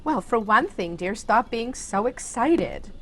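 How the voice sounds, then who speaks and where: A woman's voice: on the high side, expressive, measured pace, a little hiss or hum. A woman playing a dotty, kindly elderly aunt in a theatrical radio comedy, genteel, doting and placidly matter-of-fact. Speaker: actor.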